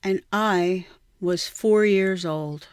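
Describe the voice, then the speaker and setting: A woman narrates a literary memoir with a measured, reflective delivery. She speaks very slowly in a smooth, clear voice. The sound is dry and close. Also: medium pitch, no room sound. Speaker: writer reading own piece.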